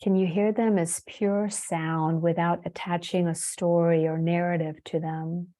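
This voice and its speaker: A woman leading a guided meditation as a yoga teacher, soothing and unhurried, leaving long pauses between phrases. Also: on the low side, level tone, dark tone.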